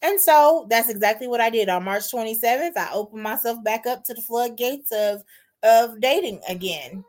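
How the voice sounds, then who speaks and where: A woman's voice: on the high side, expressive, moderate pace, a little mellow. A woman in her forties, self-assured, talking casually about her own dating life. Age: 40s. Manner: self-assured, talks through her own story with many "right?"s. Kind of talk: casual podcast chat.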